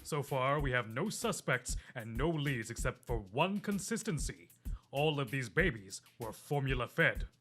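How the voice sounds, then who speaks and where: A man's voice: mid-range voice, expressive, normal speed, a bit bright, faint music behind, close to an everyday voice. A man playing a dogged, earnest, quirky police detective in an audio drama, probing and melodramatic.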